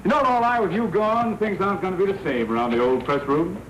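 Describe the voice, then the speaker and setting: An American actor playing a sardonic, slangy, wisecracking police reporter in a 1940s crime film. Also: male voice, sits high, quick pace, fairly bright tone, muffled recording. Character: wisecracking, teasing male big-city newspaper police reporter. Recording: noisy old 1940s film soundtrack.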